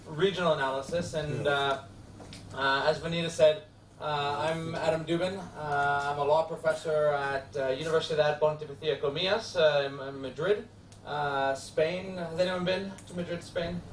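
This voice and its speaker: A law professor with a New York accent gives a friendly, halting classroom lecture full of filler sounds. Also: male voice, fairly high pitch, steady tone, slight background noise. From United States. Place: lecture hall. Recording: echoey hall from far off.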